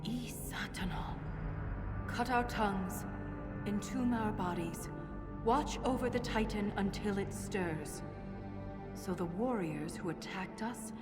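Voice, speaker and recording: A woman's voice: mid-range voice, recorded from a distance, lightly acted. Female dwarf shaper played by a woman, video game: studious, intuitive, reverent, big reverb, prominent score. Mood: hushed, intent. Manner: measured, reverent, analytical. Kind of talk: video game cutscene acting. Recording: cavernous reverb under loud music.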